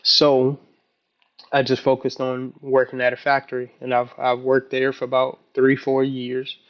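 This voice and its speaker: Man, early 30s, casual vlog journal, halting and rambling, little pitch movement, slightly dull lo-fi recording. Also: monotone. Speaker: vlogger. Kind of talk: casual, personal video journal.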